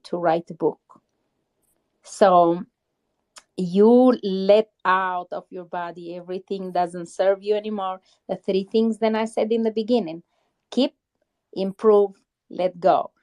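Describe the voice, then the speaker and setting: A woman's voice: mid-range voice, dark tone. A woman hosting an online audio chat room, talking in an effusive, uplifting way about spirituality and intentions. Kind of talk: spiritual encouragement in casual chat. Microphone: phone or headset in an online audio room.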